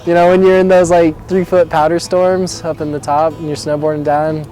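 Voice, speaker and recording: A young man from Colorado talks in a laid-back way, his voice mellow and somewhat high for a man. Faint music sits behind him. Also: level tone, moderate pace, rough recording. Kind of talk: casual interview answer.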